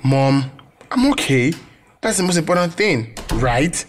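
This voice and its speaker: A man's voice: fairly high pitch, big pitch swings, unhurried, slight background noise. Hesitant young Nigerian male student. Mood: defensive. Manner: hesitant, apologetic, defensive. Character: nervous, evasive young male student making excuses. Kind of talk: radio drama acting.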